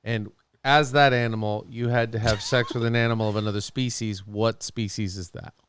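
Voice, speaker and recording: A man's voice: fairly low pitch, steady tone, moderate pace, fairly bright tone, slight background noise. A man on a podcast in casual banter with friends, blunt and joking as he plays along.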